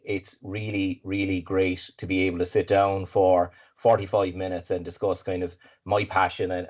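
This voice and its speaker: Raspy deep-voiced Irish man presenting. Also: a little mellow. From Ireland. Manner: upbeat, fluent, with filler words and repeats. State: hay fever, slightly congested. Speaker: guest speaker, supply chain consultant. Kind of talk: webinar presentation. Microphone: video call. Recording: dull lo-fi video call audio.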